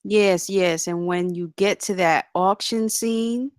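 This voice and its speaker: A woman at a table read, playing a sharp, candid and skeptical male engineer. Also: mid-range voice, takes it slowly, clear, resonant tone, no echo, clean studio sound, lightly acted. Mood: delighted.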